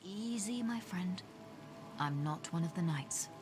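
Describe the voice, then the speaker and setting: An actress playing a composed, resolute sorceress in a video game, speaking softly and in a measured way. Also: female voice, fairly low pitch, lively intonation, over loud music. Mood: soothing, searching. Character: composed young sorceress adventurer, gentle and resolute. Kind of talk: dramatic video game acting.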